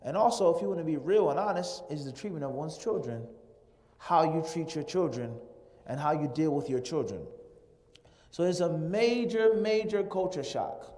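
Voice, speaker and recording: A man delivers a lecture-style sermon in a large Islamic center hall, with a voice sitting a little higher than most men's. He builds his points rhetorically, piling word on word in long lists. The sound carries a long hall reverb. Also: lively intonation, moderate pace, mellow. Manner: rhetorical, piles up lists of words.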